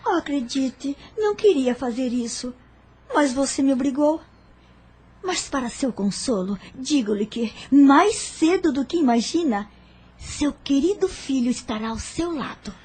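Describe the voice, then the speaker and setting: A woman's voice: high voice, animated, a little slow, darkish tone. A woman playing a vindictive, sarcastic villainess in an audio drama, taunting with open scorn. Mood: mocking menace.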